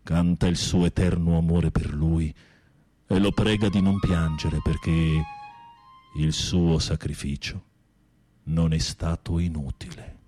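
An actor in an Italian radio drama playing a sensitive prisoner with a literary, dramatic, expressive way of speaking. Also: male voice, low-pitched, slow, faint music behind. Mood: melodramatic storytelling. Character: theatrical, sensitive male prisoner telling melodramatic tales.

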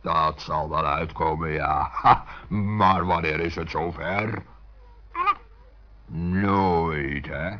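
A gruff, bossy giant for a children's radio play. He speaks extremely slowly and ponderously in a rumbling, very low voice. The recording is dull and muffled. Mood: scornful. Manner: slow, ponderous, commanding. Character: huge slow-spoken male giant, gruff, bossy but reassuring.

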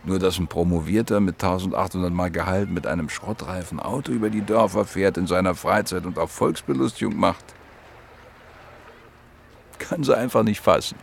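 A man's voice: low voice, normal speed, creaky, slight background noise. An actor playing a married economist in his mid-thirties, wry and evasive, speaking in clipped phrases in a radio play. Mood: defensive. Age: middle-aged.

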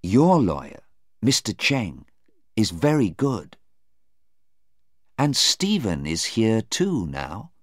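A man's voice: lowish voice, wildly swooping pitch, takes it slowly, long pauses, dry recording, clean studio sound, voice pushed up, exaggerated voice. A man voicing an earnest middle-aged mother in an audiobook, soothing and coaxing. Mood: reassuring. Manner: reassuring, coaxing. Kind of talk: audiobook character voice.

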